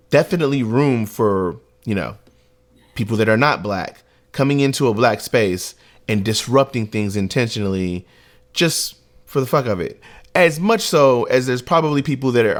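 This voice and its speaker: A young adult man co-hosting a podcast, talking in a loose, conversational way with plenty of filler asides. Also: medium pitch. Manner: loose, conversational, frequent "you know what I mean". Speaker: podcast co-host. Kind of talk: casual podcast discussion.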